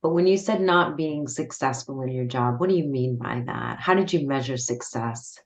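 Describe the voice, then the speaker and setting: Low-voiced woman hosting a podcast. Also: moderate pace. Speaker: podcast host. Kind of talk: conversational interview.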